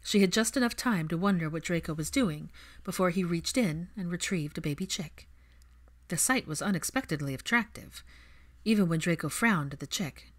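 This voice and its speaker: A woman's voice: fairly low pitch, moderate pace. A woman reading fiction aloud as an audiobook narrator.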